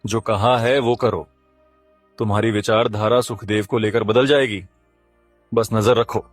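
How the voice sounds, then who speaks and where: A man's voice: on the low side, steady tone, measured pace, dry recording, faint music behind. A man voicing a mysterious, solemn cursed kite bird in a cartoon story, speaking in an earnest, portentous way. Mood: cryptic warning.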